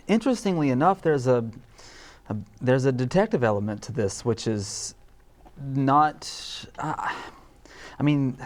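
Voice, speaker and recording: Male panelist, medium pitch, casual and halting as he reviews a script. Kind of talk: casual critique.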